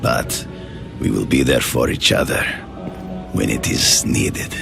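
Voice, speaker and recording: A grave, gravelly old hunter. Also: male voice, very deep voice, fairly even intonation, over loud music, full character voice. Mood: persuasive. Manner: grave, measured, formal. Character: proud aging male hunter, honor-bound. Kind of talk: video game cutscene.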